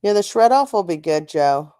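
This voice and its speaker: Radio co-host woman, short dry replies, mellow dark voice. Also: lowish voice, expressive, moderate pace, clean tone, no echo. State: in back pain. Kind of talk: casual on-air chat.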